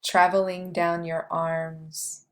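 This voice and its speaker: Slow, low-voiced woman guiding meditation. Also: little pitch movement. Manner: slow, measured delivery with long pauses. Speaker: meditation guide. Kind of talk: guided meditation, scripted poetic narration.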